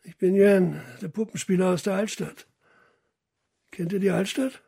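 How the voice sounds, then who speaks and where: Sly puppeteer for radio crime drama: very husky, gravelly edge, wry, measured, secretive. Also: male voice, high voice, dark tone, full character voice. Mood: bitter. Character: wry, unhurried male puppeteer of the old town, knowing and secretive. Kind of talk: German radio play acting.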